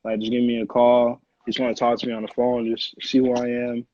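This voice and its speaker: Man, early twenties, American accent, slangy casual chat, middle pitch, dull lo-fi recording. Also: completely flat delivery, fairly quick, darkish tone, no room sound. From Connecticut, USA. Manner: slangy, informal. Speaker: music producer, interview guest.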